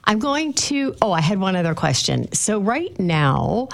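A woman's voice: medium pitch, very animated, measured pace, gravelly. A Canadian woman working as a realtor, interviewing a guest in a chatty, encouraging way. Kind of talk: conversational interview.

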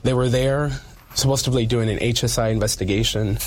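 A man with a mid-pitched, husky voice speaks as a community advocate in an interview. He is earnest and emphatic, often repeating short phrases to drive the point home. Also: steady tone, brisk. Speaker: community activist giving an interview.

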